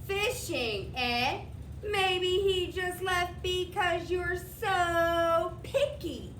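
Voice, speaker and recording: A woman's voice: very high-pitched, fairly bright tone, echoey room, slight background noise, voice pushed up, full character voice. A woman voicing a big scruffy male storybook monster, slurping, whining and trying hard to sound terrifying. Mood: whiny, warning. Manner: slurpy, drawn-out, mock-terrifying. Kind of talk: children's storybook read aloud.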